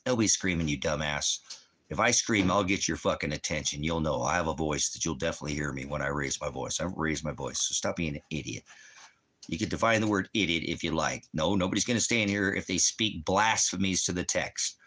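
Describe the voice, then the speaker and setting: A man's voice: rumbling low, fairly quick, fairly bright tone, slightly rough. A man hosting a rambling livestream Bible teaching, talking bluntly and challengingly to commenters and often answering his own questions. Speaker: ministry teacher and livestream host. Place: indoors at home by a fireplace.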